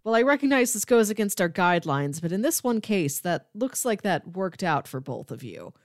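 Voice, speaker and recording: A woman's voice: mid-range voice, big pitch swings, moderate pace, dry recording, clean studio sound. A woman co-hosting a podcast, talking casually and conversationally about books. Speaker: podcast co-host. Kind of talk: casual book discussion podcast.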